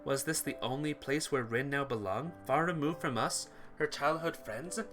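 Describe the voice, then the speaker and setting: A man reading a visual novel aloud as a playthrough host, narrating in an expressive, theatrical way. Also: medium pitch, normal speed. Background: music.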